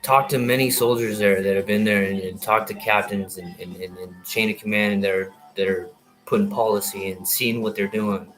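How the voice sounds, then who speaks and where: A man's voice: fairly low pitch, fairly even intonation, moderate pace, a bit bright, faint music behind, rough recording. A man in his thirties, a military veteran, rants through a rambling, heated monologue full of swearing and false starts. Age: 30s. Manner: heated, profane, many restarts.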